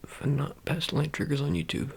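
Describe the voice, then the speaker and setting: A man doing an ASMR roleplay, talking casually to the viewer in a halting, rambling, self-correcting way. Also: fairly high pitch, flat delivery, vocal fry, breathy edge. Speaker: ASMR creator.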